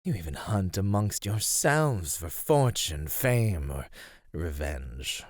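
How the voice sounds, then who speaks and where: A man performs as an ancient predatory serpent monster in an ASMR roleplay. He speaks slowly and deliberately, savouring ornate threats with teasing patience. The sound is close and dry, with no room around it. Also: sits low, big pitch swings, no room sound, exaggerated voice. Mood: lecturing, condescending. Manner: slow, deliberate, ornate menacing speech with whispered asides. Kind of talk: ASMR roleplay, scripted acting.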